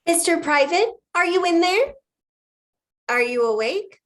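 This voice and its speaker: An earnest young office girl played by a woman in a noir stage piece. Her voice is high and swings widely in pitch. She is polite and fusses with concern over her boss. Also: expressive, measured pace. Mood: polite, hesitant. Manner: polite, solicitous. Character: earnest, worrying young female detective's assistant. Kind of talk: film noir stage dialogue.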